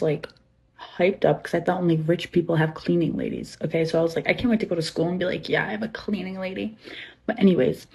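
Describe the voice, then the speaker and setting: A woman's voice: fairly low pitch, warm tone. A young woman casually telling a comic anecdote, exaggerating dramatically for effect.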